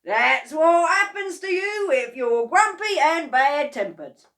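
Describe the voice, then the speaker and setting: A woman voicing a spiteful husband who crows gleefully in a raised, loud voice. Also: sits high, big pitch swings, slow pace, voice pushed up, exaggerated voice. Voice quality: gloating, crowing.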